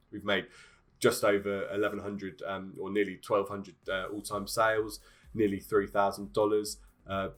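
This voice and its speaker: Man hosting a tutorial, nasal from a cold, lower voice, halting with fillers. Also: fairly bright tone. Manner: hesitant, many fillers and restarts. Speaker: online business tutorial host. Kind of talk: casual screen-share tutorial.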